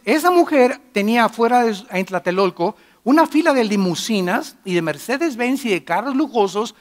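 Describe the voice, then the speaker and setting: A middle-aged pastor preaching with emphatic force, his voice sitting unusually high for a man. He moves between loud declarations and hushed asides as he addresses a church congregation. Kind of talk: sermon.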